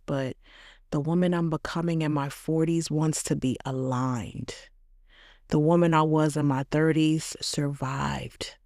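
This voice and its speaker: A woman in her late thirties hosting a podcast, delivering a reflective scripted monologue in slow, measured, affirming short phrases. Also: sits low, dark tone, no echo, clean studio sound. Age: late 30s. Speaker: podcast host.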